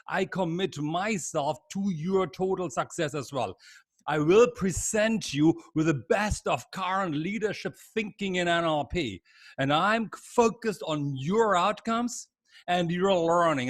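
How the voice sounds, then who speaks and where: A middle-aged man with a German accent, leading a live online training seminar in an energetic, repetitive, encouraging way over a video call. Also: fairly high pitch, dry recording, clean studio sound. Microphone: computer mic on a video call.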